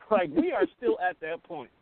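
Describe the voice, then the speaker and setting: A middle-aged man with a high voice and lively swings of pitch co-hosts casual talk radio. He is emphatic and colloquial, and the sound is thin and phone-like. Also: no room sound. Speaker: radio talk show co-host. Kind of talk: casual opinionated chat.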